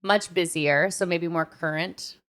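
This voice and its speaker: A woman talks casually as a podcast co-host, her voice sitting slightly on the low side. She is informal and slangy, working through her thoughts out loud in everyday conversational language. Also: steady tone, unhurried. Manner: informal, slangy, thinking aloud.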